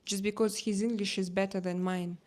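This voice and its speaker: A woman live streamer with a Russian accent talks casually to her viewers. Her voice sits at a middle pitch. As a native Russian speaker she goes haltingly, often pausing to hunt for the right word. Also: little pitch movement, darkish tone. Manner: halting, searching for words in non-native English.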